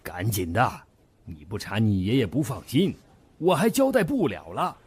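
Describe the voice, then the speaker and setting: An actor playing a gruff, protective middle-aged uncle in an audio drama, blunt and bossy. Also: male voice, fairly low pitch, big pitch swings, moderate pace, darkish tone, full character voice. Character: gruff, protective uncle, foul-mouthed henchman of grandfather. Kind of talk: Chinese audio drama acting. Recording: music behind the voice.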